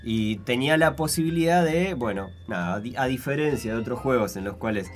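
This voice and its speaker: A man's voice: mid-range voice, animated, fairly quick, soft background music. A man, speaking in a casual chat, thinking aloud with many restarts. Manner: reflective, hesitant, many restarts.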